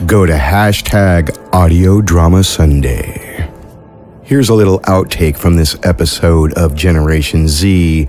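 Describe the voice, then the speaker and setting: A man's voice: low voice, a little mellow. A man hosting an audio fiction podcast, reading scripted credits as its producer. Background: music.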